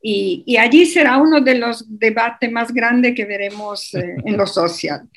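A woman's voice: on the high side, measured pace, slight background noise. A woman guest on a radio interview, explaining at length with many hesitations and restarts. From Europe.

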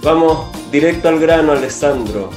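Hombre, locutor de radio, sala con eco. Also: male voice, a little high, lively intonation, normal speed, echoey room, music in the background. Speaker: radio host. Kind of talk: radio interview.

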